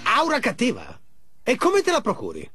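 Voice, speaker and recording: A stern guardian mask spirit, high for a male voice and very animated in pitch. He scolds and commands protectively in cartoon game style, over faint music. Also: moderate pace, full character voice. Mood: suspicious, scolding. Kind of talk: animated game cutscene dub.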